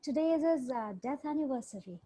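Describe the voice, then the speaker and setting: A woman's voice: high-pitched, lively intonation, brisk, dark tone, dry recording. A woman chatting casually in an online group and reading out information. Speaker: poetry group member. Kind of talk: casual chat and reading out facts. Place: online video call.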